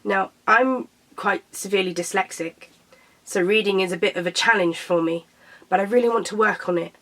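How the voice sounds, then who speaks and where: A young woman from Britain, a little croaky after being sick, chatting casually to camera about books and tripping over her words. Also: mid-range voice, normal speed, a bit bright. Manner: chatty, self-correcting, stumbles over titles. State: slightly croaky from illness. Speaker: book vlogger.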